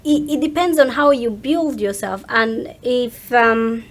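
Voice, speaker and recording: A woman in her thirties with a slightly high voice, speaking as a guest in a casual chat. She is emphatic and often repeats a phrase to press it home. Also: slow pace. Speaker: interview guest.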